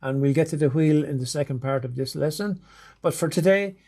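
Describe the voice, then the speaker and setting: A man's voice: a little high, fairly quick, vocal fry, warm tone. A middle-aged Irish man, a sewing machine technician, giving a methodical, plain-spoken demonstration with frequent ums and uhs. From Ireland. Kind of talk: instructional demonstration.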